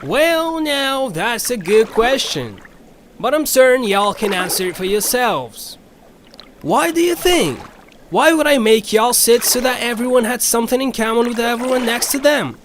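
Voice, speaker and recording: High-pitched, folksy Southern ferry captain. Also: male voice, expressive, moderate pace, fairly bright tone, slight background noise. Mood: folksy, cheerful. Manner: folksy, drawling turns of phrase. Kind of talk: cartoonish audio drama acting.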